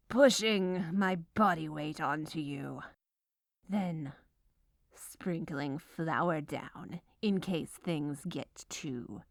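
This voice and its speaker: A woman's voice: medium pitch, no room sound, studio quality. A woman performing scripted comedic ASMR narration as a gleefully menacing, melodramatic baker, with a theatrical villainous delivery.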